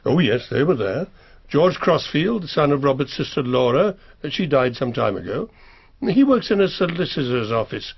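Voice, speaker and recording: Fussy English solicitor, husky and animated. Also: male voice, mid-range voice, highs cut off, exaggerated voice. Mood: measured, disapproving. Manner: formal, measured, precise, faintly disapproving. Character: elderly, proper English family solicitor, fussy and earnest. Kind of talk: radio drama acting.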